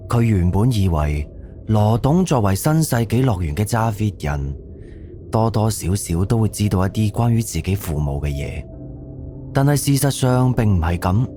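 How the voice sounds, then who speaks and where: A man's voice: lowish voice, sing-song, normal speed, dark tone. A man with a Cantonese accent giving scripted narration for an audio drama. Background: background music.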